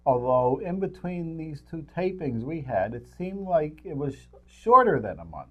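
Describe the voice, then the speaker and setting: A man's voice: a little high, sing-song, moderate pace, sharp tone. Older man co-hosting, dull lo-fi sound. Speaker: co-host. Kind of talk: casual movie-review chat.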